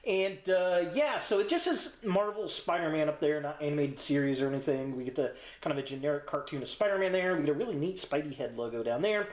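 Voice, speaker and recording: A man presents a casual toy review in a chatty, slangy manner. His voice sits a little higher than most men's, and the room adds a noticeable echo around it. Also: quick pace, hardly any pauses. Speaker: host of a toy review channel. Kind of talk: casual unboxing review commentary. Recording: roomy, echoey sound.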